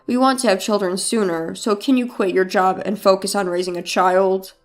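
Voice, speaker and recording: A woman's voice: mid-range voice, fairly even intonation, normal speed, faint music behind, pitched below the performer's own voice, natural voice. A woman narrator voicing a domineering, demanding husband in a story, with a bossy, lecturing manner. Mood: persuading.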